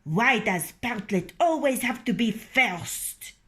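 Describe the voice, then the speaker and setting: A woman's voice: a little high, animated, slow, sharp tone, pitched above the performer's own voice, full character voice. A woman voicing a group of jealous hens in a storybook reading, complaining and petty. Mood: peevish. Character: jealous, fussing farmyard hens.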